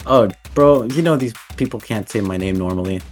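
A man's voice: on the low side, fairly quick, faint music behind. A young man co-hosting a casual podcast, brash and joking, full of slang and swearing. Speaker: podcast co-host. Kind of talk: casual chat.

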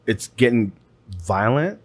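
A young man co-hosting a casual podcast, talking in relaxed slang with lots of fillers. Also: medium pitch, takes it slowly, dry recording. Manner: laid-back slang, frequent laughs and fillers. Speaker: podcast co-host.

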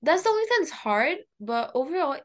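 A young woman from Pakistan whose first language is Urdu co-hosts a casual radio show. Her voice sits fairly high, and she chats informally, sprinkling in plenty of "like" as she goes. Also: very animated, brisk. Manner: chatty, informal, frequent "like".

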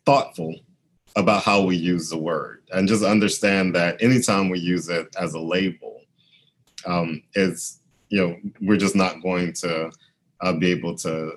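Man, very low voice, thoughtful, measured, fillers, interviewee. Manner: thoughtful, measured, frequent "um" and "you know". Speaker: interviewee, education scholar. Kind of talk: academic interview.

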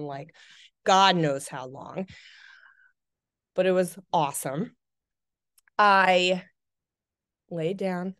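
A young woman with a slightly low, clean and smooth voice chats as a podcast host. She tells everyday stories casually and plays them up for comedy. The sound is close and dry. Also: dry recording. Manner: chatty, exaggerating for comic effect. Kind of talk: casual personal storytelling.

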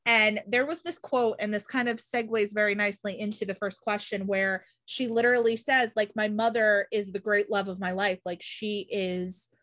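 A woman's voice: medium pitch, fairly even intonation, normal speed, few pauses, a bit bright. A woman of about thirty hosting a casual podcast chat, emphatic and peppering her talk with "like". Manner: emphatic, frequent "like" filler. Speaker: podcast host.

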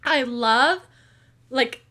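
A woman's voice: sits high, very slow, pushed and bright. A woman in her twenties from Oklahoma, co-hosting a casual podcast in a chatty, upbeat way with many fillers. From Tulsa, Oklahoma. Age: 20s. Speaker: podcast co-host. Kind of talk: casual chat.

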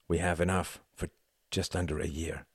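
A man reading as a stern wealthy father delivering bad news gravely. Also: low-pitched, level tone, dry recording, studio quality. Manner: grave, heavy, measured.

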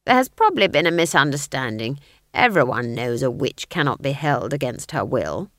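A woman's voice: very deep voice, sing-song, normal speed, fairly bright tone, no room sound, studio quality, pitched below the performer's own voice, full character voice. A woman reading aloud, voicing a stern, proud old woman witch who speaks firmly. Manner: clipped and firm.

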